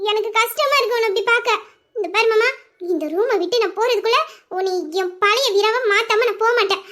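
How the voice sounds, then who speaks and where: A woman's voice: very high voice, a bit bright. A woman playing a young woman who coaxes and pleads with her lover in an audio drama. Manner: pleading, coaxing, breaking into tears. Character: young woman, coaxing and tearful sweetheart.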